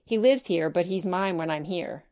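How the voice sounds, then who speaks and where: A woman's voice: on the low side, moderate pace, dark tone, lightly acted. Woman reading as a reserved, matter-of-fact young boy. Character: reserved, skeptical young boy who loves his dog.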